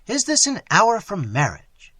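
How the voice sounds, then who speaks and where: Man voicing a stern old friar, grave, commanding, measured, solemn, dry close voice. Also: high-pitched, huge pitch swings, bright, forceful tone, no room sound, pitched above the performer's own voice, full character voice.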